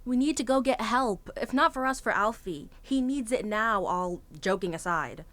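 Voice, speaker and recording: Woman as a slightly high-voiced, practical young student. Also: lively intonation, normal speed, a bit bright, no room sound. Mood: urgent. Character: caring, practical young student, exasperated first-aider. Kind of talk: amateur audio drama acting.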